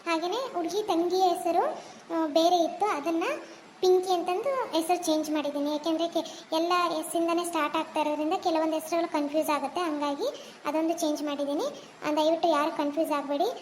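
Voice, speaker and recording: A woman who makes cartoons speaks to her viewers. She explains her changes plainly and pauses with small fillers. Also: very high voice, level tone, darkish tone, a little hiss or hum. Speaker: cartoon channel creator. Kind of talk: channel announcement.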